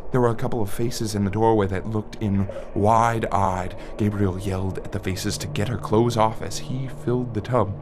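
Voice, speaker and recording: A man acting a wistful father who recounts a dark memory to his child in a conversational, reflective monologue with dramatic pauses. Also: on the low side, steady tone, noisy background, close to an everyday voice. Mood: intense, urgent. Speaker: narrator of an audio play. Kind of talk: first-person dramatic monologue, storytelling. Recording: music under the voice.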